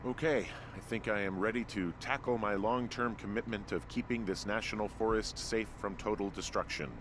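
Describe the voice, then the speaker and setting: A man playing a laconic, sardonic fire lookout in a video game, deadpan and a little hesitant. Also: fairly low pitch, normal speed, noisy background, close to an everyday voice. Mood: dry, joking. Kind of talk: naturalistic video game voice acting.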